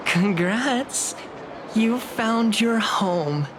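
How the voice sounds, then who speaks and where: A woman's voice: a little high, expressive, very slow, background noise. A woman playing a friendly, cheerful cult member in video game dialogue.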